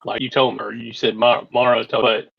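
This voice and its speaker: A man's voice: mid-range voice, flat delivery, fairly quick, vocal fry, husky, no room sound. A man chatting casually in character as a tabletop game player, on lo-fi audio.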